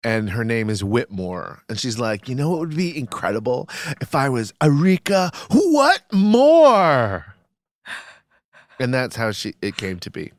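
A man's voice: mid-range voice, very animated, a little slow, no echo, clean studio sound. A man co-hosting a casual podcast chat, throwing in sarcastic jokes. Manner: sarcastic, joking. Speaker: podcast co-host.

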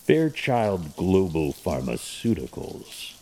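A man playing a grim, menacing masked vigilante in an audio drama, terse and stern, with a gravelly, husky voice. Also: sits low, big pitch swings, slow pace, darkish tone, exaggerated voice, low-quality recording.

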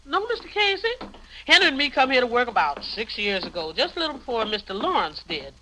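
An actress playing a hospitable, chatty American housekeeper with homely speech in an old radio drama. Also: female voice, fairly high pitch, big pitch swings, quick pace, bright, forceful tone. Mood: cheerful, hospitable. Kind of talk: 1950 radio acting. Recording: noisy old 1950 radio recording.

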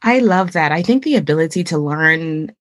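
A woman's voice: sits low, lively intonation, moderate pace, dry recording, studio quality. A woman hosting a podcast, upbeat and welcoming as she reads her guest's bio and chats. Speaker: podcast host. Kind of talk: casual interview chat.

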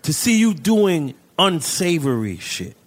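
A man's voice: fairly high pitch, sing-song, slow pace. A man co-hosting a podcast, tossing in short, profane interjections. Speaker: podcast co-host. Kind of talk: casual podcast chat.

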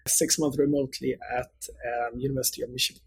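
A man's voice: medium pitch, level tone, quick pace, husky. A middle-aged man from Sweden with a mixed international Swedish accent, answering conversationally as an interview guest. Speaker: interview guest, company executive.

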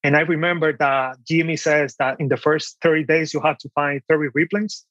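A man's voice: a little high, fairly even intonation, a little fast, fairly bright tone, no room sound, lo-fi sound. A young man from the Dominican Republic with a Dominican Spanish accent, telling his story casually as an interview guest. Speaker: podcast guest, online seller.

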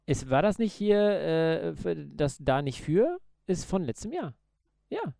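Podcast co-host, male: dark, relaxed tone with a gravelly edge, loose banter. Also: a little high, unhurried, no echo, studio quality. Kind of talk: casual chat.

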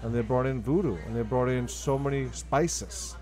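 A man's voice: mid-range voice, moderate pace, darkish tone. Argentine-accented man in conversational interview. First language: Spanish. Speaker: guest, restaurant owner.

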